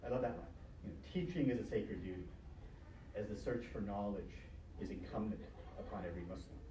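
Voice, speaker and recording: Low male voice, middle-aged, Urdu native; relaxed lecture-style sermon through a face mask, roomy and noisy. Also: a little fast. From Pakistan, grew up in Canada. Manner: conversational, many fillers and restarts. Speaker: professor giving a Friday sermon. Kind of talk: university Friday sermon. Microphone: speaking through a face mask. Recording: echoey room, mic far off, noisy background.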